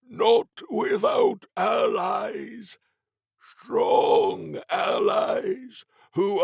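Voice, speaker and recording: Ominous sea-cult zealot for horror audiobook: high, loud, strained, very slow preaching. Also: male voice, creaky, husky, no room sound, full character voice. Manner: slow, drawn-out, preaching pronouncements. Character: slow, ominous male sea-cultist zealot with orb-like eyes.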